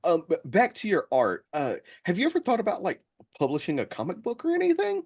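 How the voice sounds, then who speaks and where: A man hosting a casual chat, feeling his way through sentences in a halting delivery. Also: sits high, huge pitch swings, creaky, a little mellow, husky. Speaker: podcast host.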